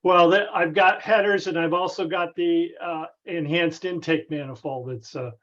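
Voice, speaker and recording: A man with a slightly high voice talks over a dull, lo-fi conference connection. He explains a technical matter casually, haltingly and tentatively, circling back as he goes. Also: steady tone, fairly bright tone. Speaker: club member asking a technical question. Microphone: conference call connection. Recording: dull, band-limited conference call audio.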